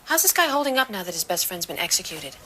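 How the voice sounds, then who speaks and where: A woman playing a firm, pragmatic prosecutor in her thirties in an audio drama, brisk, blunt and challenging. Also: on the low side, sing-song, bright, forceful tone, a little hiss or hum. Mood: pressing, measured. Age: 30s. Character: firm, pragmatic 37-year-old woman prosecutor, overworked but professional. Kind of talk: dramatic dialogue acting.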